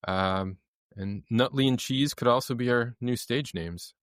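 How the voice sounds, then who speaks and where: A man's voice: medium pitch, a little slow. A man with a mid-range voice chats casually on a podcast, listing items and reading labels with dry humor. He is recorded close, with no room echo. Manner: wry, reads items off lists. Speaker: podcast co-host. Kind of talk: casual chat, reading packaging.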